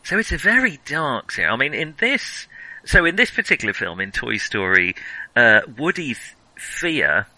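A man's voice: mid-range voice, big pitch swings, normal speed, bright, forceful tone, no echo, low-quality recording. A man hosting a casual film discussion, thinking aloud and often rephrasing mid-thought. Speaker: podcast host.

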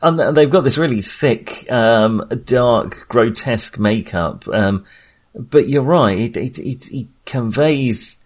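A man's voice: mid-range voice, lively intonation, slow pace. A man in a casual film discussion, hesitantly searching for his words and often rephrasing as he goes. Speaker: film podcast host.

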